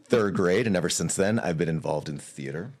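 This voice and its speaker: Very deep-voiced man, reflective guest. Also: lively intonation, fairly quick, a little mellow. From Hawaii. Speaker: arts leader, guest. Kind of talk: casual interview talk.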